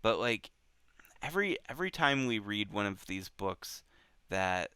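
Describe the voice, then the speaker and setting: A man hosting a book club show, talking casually and unscripted in a halting way, often repeating himself. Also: on the low side, sing-song, pushed and bright, smooth, no room sound.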